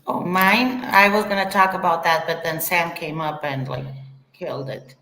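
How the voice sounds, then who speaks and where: A woman's voice: fairly low pitch, normal speed, pushed and bright. A woman chatting casually on a livestream panel, with a sarcastic edge as she recounts things. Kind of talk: casual chat. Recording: echoey room.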